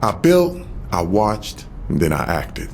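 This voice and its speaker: An actor playing a shrewd American former gang boss, self-assured and streetwise, reminiscing in a scripted game audio log. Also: male voice, low voice, huge pitch swings, takes it slowly, slight background noise, exaggerated voice. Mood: cold, proud. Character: shrewd, patient male ex-gang boss turned author.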